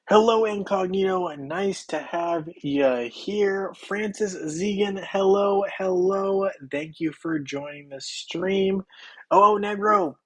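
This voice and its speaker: A young man, slightly hoarse, chatting casually on a game livestream and cheerfully greeting viewers by name. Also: a little high.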